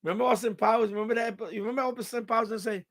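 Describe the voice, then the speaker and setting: A man's voice: very high-pitched, steady tone, rapid-fire. A man hosting a live stream in casual, rambling, unfiltered chat with his viewers. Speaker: livestream host.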